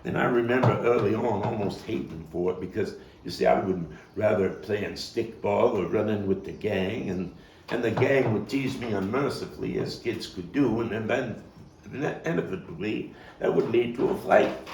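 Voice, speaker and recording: Stage monologue role: male actor playing reflective Brooklyn street kid grown up, gravelly, pausing dramatically, heard from a distant mic. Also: on the low side, natural voice. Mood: reflective, wry. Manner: halting, reflective, dramatic pauses. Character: street-wise, bookish Brooklyn man recalling a hard childhood. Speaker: actor-playwright performing his monologue. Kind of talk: theatrical monologue at a table read. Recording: roomy, distant mic with faint noise.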